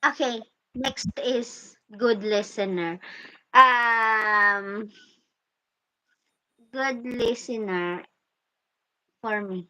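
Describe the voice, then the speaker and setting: A woman's voice: on the high side, very slow, long pauses, bright, forceful tone, poor audio. A Filipino woman whose first language is Masbateño, speaking English with a Filipino accent as co-host of a casual chat, earnest and explaining in simple phrases. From Philippines.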